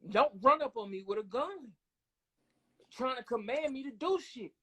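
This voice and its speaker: A man's voice: very high-pitched, expressive, normal speed, a bit bright, breathy edge. An American man co-hosting a casual podcast, talking bluntly in slang with profanity and frequent tag questions. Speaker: podcast co-host.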